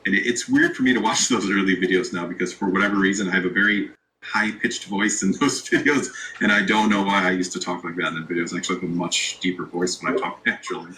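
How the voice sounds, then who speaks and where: A man's voice: low-pitched, fast, low-quality recording. A man who makes online videos, guest in a casual interview, talking loosely with many restarts. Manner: relaxed, rambling, many restarts. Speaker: YouTube creator, guest.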